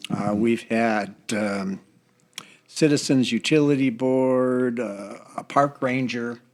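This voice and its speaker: An older man in a casual group discussion, talking haltingly as he searches for his words. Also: mid-range voice, a little mellow. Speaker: church seniors' group member.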